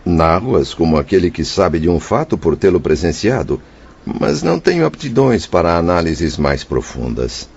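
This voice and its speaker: A man's voice: low-pitched, sing-song, normal speed, creaky, a little mellow, slightly rough, heavily acted. An actor playing a humble, troubled father spirit who recounts his past in a radio drama, earnest, formal and emotional. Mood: humble, grateful. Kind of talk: Brazilian radio drama narration and dialogue. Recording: dull, faintly noisy radio drama track.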